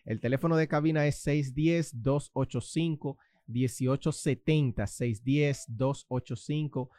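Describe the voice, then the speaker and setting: Man, radio host, upbeat, mid pitch, dry recording. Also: steady tone, measured pace, mellow, no echo. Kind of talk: radio talk show hosting.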